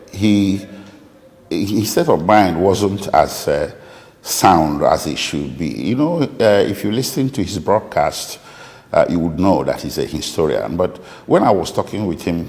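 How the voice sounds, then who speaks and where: Very deep-voiced Nigerian man, echoey room. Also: darkish tone. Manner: deliberate, halting, precise about details. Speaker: lawyer, interviewee. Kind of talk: interview answers recounting a client's account. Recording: echoey room with long reverb.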